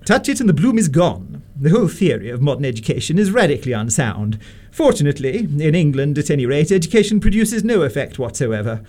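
Give the voice of an imperious middle-aged Victorian lady acted by a man, pitched high for a male voice and swinging wildly up and down. The delivery is pompous and deliberate, full of haughty disapproval, in a radio stage-comedy style. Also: big pitch swings, darkish tone. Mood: haughty interrogating. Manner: pompous, deliberate, swooping emphasis.

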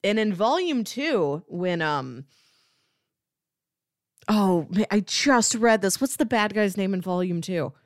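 A woman's voice: mid-range voice, animated, slow pace, pauses often, dry recording, clean studio sound. A woman co-hosting a podcast, chatting casually about books and acting out bits as she goes. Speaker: podcast co-host discussing comics. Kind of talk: casual chat, book review.

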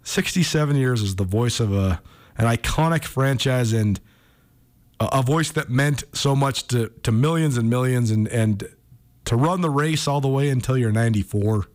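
A man's voice: mid-range voice, moderate pace, darkish tone. An American man with a mid-range voice talks casually as a sports radio host. He speaks conversationally, often working through his thoughts aloud.

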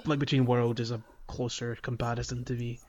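A man's voice: mid-range voice, level tone, a little fast, crowd noise. Guy on a games podcast, mid voice, casual talk, bit of a muffled mic. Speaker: podcast panelist. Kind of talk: casual gaming podcast chat.

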